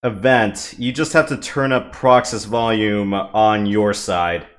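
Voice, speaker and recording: Low, matter-of-fact stream co-host. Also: male voice, slow pace. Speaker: co-commentator. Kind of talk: casual live-stream chat.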